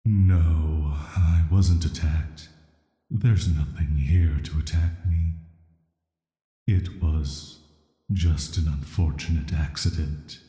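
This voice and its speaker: A man playing an ancient banished villain in an audio roleplay, speaking softly in a flat, measured, hesitant way. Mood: wounded, gentle. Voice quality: very deep, soft, atmospheric. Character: ancient banished male villain, immortal cursed being, calm and flirty. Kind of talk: slow scripted roleplay audio drama monologue. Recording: echoey, long cavernous reverb.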